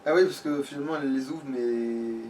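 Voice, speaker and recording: A man's voice: mid-range voice, fairly quick, darkish tone. A man playing a video game and chatting casually about it, with crude, slangy language and swearing. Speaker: let's play gamer. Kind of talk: casual gaming chat. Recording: distant mic with faint background noise.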